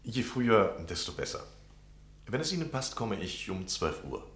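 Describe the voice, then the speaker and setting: An actor in a radio play playing a polite, eager, flattering gentleman journalist seeking to lodge. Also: lowish voice, normal speed, echoey room. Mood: courteous. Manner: polite, smooth, deferential. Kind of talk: 1960 German radio play acting.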